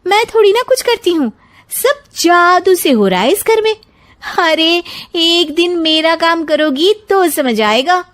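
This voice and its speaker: A woman's voice: very high voice, animated, measured pace, a bit bright, pitched above the performer's own voice, heavily acted. A woman voicing a sarcastic, stubborn young daughter-in-law in a family story, with a taunting manner. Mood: indignant, sarcastic. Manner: sassy, taunting.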